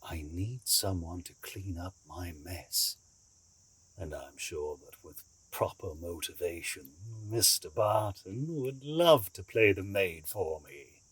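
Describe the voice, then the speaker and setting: An actor playing a calculating villain boss in an audio drama, husky and deliberate, with silky, commanding courtesy. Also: male voice, low voice, lively intonation, fairly bright tone, no room sound, exaggerated voice, low-quality recording. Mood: smooth menace. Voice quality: husky, raspy. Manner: slow, deliberate, silkily polite.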